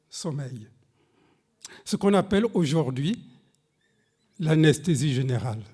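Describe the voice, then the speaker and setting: Male preacher, non-native French speaker, high voice, unhurried and emphatic sermon delivery. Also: mellow. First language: a language other than French. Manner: slow, emphatic preaching with dramatic pitch swings, reading scripture aloud; addresses the congregation directly. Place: church service.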